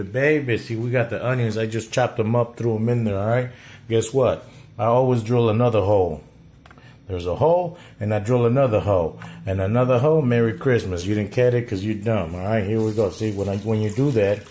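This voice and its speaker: Middle-aged guy cooking in his kitchen, cocky and joking, slangy, camera on the counter, noisy. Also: lowish voice. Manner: boastful, joking, streetwise slang. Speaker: home cooking vlogger. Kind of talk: casual cooking vlog with banter. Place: home kitchen, indoors. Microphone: camera at counter level. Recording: noisy kitchen with chopping clatter.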